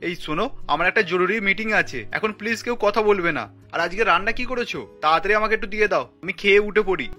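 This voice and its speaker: A man voicing an irritable, busy husband in a cartoon, loud, snapping and hurried. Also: high-pitched. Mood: impatient, brusque. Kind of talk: Bengali cartoon voice acting. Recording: music bed behind the voice.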